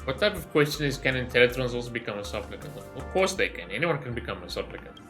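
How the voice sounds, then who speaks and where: A man's voice: medium pitch, big pitch swings, fast talker, fairly bright tone, faint music behind. A man reacting casually to a game story as a streamer, musing aloud with wry questions. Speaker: gaming streamer.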